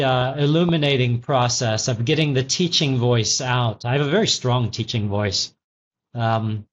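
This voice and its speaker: A man's voice: mid-range voice, fairly bright tone. A man in his fifties, a former tech CEO now an executive coach, answering interview questions in a thoughtful, teacherly way with frequent restarts. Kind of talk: interview answers, reflective storytelling. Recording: slightly dull lo-fi audio.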